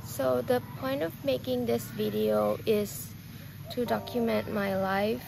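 Thai woman in her twenties with a Thai accent, soft reflective vlog confession, very slow and heavily gravelly, music under her, recorded outdoors. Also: fairly high pitch, steady tone, rough recording. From Thailand. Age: 20s. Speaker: vlogger. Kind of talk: personal storytelling to camera. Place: outdoors on a lawn. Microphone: camera on a tripod, seated facing it.

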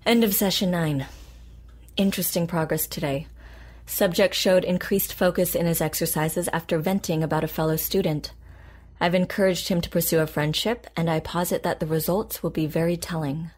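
An actress playing a calm, patient therapist in an audio drama, composed and deliberate in her delivery. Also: lowish voice, natural voice. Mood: clinical. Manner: composed, deliberate, clinical, reassuring. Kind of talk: naturalistic audio drama acting.

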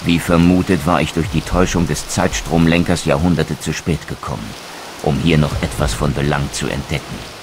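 A man's voice: very deep voice, steady tone, moderate pace, noisy background. A man playing a brooding, proud undead wraith in a video game, speaking eloquently and theatrically with sardonic bitterness. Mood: brooding, grave. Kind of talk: dramatic game dialogue and scripted narration.

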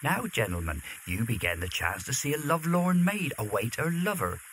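Man playing a lively, wry countryman. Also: mid-range voice, moderate pace, a little hiss or hum, low-quality recording. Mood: wry, obliging. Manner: folksy, wry. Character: wry rustic weir keeper, hospitable countryman. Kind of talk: audio drama acting.

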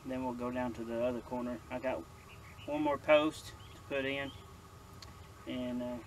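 A middle-aged man on a farm, casually narrating fence work in a relaxed, rambling way. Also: mid-range voice. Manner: relaxed, rambling, self-correcting. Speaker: farmer vlogger. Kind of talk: casual narration while working. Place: open farm field outdoors. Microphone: camera on tripod at a distance. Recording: outdoor camera audio with clear background noise.

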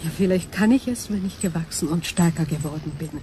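A woman playing a gentle, ancient, lonely alien crystal being in a sci-fi radio drama, speaking in slow, solemn, wistful tones. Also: on the low side, a little hiss or hum, lightly acted. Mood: sad, resigned.

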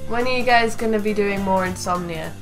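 A woman in her twenties talks to her viewers in a casual, joking way with the odd swear word. Her voice sits at a middle pitch. Music plays clearly behind her as she sits in a small bedroom. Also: fairly quick. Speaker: YouTube host and voice actor. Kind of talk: casual chatty Q&A vlog. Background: background music.

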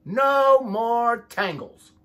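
A man's voice: extremely high pitch, lively intonation, extremely slow, bright, forceful tone, voice pushed up, heavily acted. A man voicing a carefree, mischievous young sheep in a children's storybook, breezy and gleeful.

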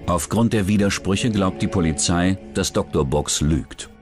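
Low-pitched male documentary narrator. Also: unhurried. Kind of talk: scripted true-crime documentary narration. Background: background music.

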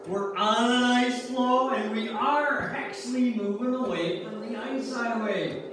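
A man's voice: very high voice, a little slow. A man playing a resigned, plain-spoken ice fisherman in amateur reader's theater, recorded from far off in a church hall. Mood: grim, resigned. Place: church sanctuary stage with audience. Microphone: far camera in the pews. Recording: distant, very echoey noisy church hall recording.

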